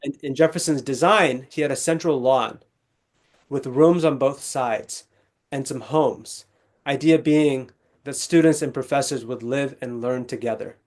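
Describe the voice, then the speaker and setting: A young man from Texas, a legal scholar, speaking as a guest in an interview, articulate and measured with small restarts. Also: medium pitch, a little mellow. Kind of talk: conversational interview storytelling.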